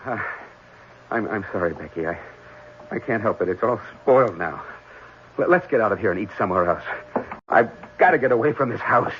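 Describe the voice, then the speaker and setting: A man acting in an old-time radio drama, playing a nervous, haunted young man with a halting, stammering delivery. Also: fairly low pitch, animated, background noise, natural voice. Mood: rattled. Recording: dull vintage radio recording, music behind.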